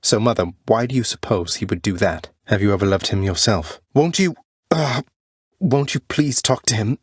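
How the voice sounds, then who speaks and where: A young prince of the underworld in a dramatic video game. His voice sits fairly low and is recorded dry and close. He is earnest and defiant, with formal, slightly archaic phrasing that turns halting when feelings rise. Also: husky, dry recording. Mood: urgent, hopeful. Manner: earnest, formal old-fashioned wording, halting when emotional. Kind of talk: video game character dialogue, dramatic acting.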